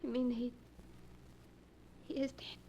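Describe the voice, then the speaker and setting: An actress playing a desperate, frightened young heiress in 1940s radio melodrama, breathless, halting and urgent. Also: female voice, fairly high pitch, flat delivery, dark tone, muffled recording. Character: terrified, desperate young heiress pleading and narrating her ordeal. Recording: noisy 1940s radio broadcast.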